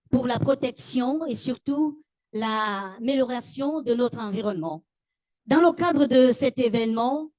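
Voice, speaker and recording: A woman's voice: on the high side, dark tone, no room sound. A woman, presenting a formal ceremony as master of ceremonies in a stately, ceremonious manner. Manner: ceremonious, stately, often addressing the audience.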